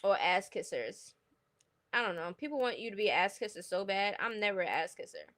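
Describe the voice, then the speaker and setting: Bright-voiced, blunt New York woman. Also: medium pitch, very animated, a little fast. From New York City, United States. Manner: blunt, opinionated, slangy. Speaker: livestream host. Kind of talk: casual livestream chat, celebrity gossip commentary.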